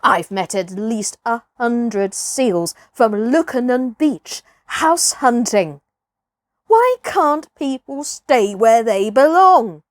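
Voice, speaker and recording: A proud old bull seal from a children's storybook, acted out by a woman, grumbles and snorts with great pomposity. The delivery is slow and weighty, recorded close and dry. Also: on the high side, animated, dry recording. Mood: grumbling.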